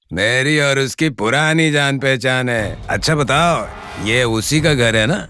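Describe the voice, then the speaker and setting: A man's voice: mid-range voice, takes it slowly, pushed and bright, faint music behind. A man voicing a pushy, desperate cartoon character who pleads insistently. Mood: pleading. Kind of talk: cartoon voice acting.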